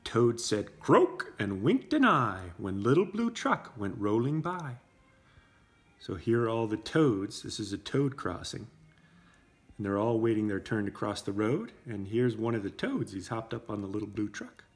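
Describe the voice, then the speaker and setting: A man's voice: lowish voice, big pitch swings, normal speed. A man in his thirties reading a children's storybook aloud as a parent, expressively, with simple casual asides. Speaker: parent reading to preschool class. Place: indoor room with brick wall. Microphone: camera a short distance away.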